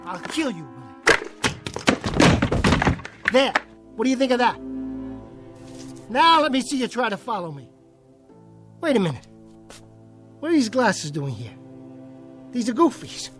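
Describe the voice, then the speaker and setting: Frantic, haunted nightclub ventriloquist. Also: male voice, very high-pitched, exaggerated voice. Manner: frantic, pleading, muttering to himself. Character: male ventriloquist terrified of his own living dummy. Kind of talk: radio drama acting.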